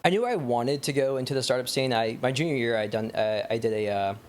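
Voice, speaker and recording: A man's voice: medium pitch, fast talker, slight background noise. A young American man chatting casually as an interview guest, rambling through stories with lots of "like" and "you know". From Wyoming, United States. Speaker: podcast guest, startup founder. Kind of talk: casual interview chat, storytelling.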